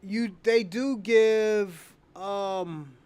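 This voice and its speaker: A man's voice: high-pitched, very slow, darkish tone, clean tone. A man hosting a casual livestream, talking off the cuff in an opinionated, rambling way and repeating phrases. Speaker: live stream show host.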